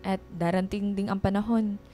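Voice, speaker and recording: A woman's voice: mid-range voice, steady tone, normal speed, warm tone, lightly acted. A woman playing a devoted, hardworking young daughter in her twenties in a Filipino radio drama, earnest and insistent. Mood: earnest, tender. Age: 20s. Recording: music behind the voice.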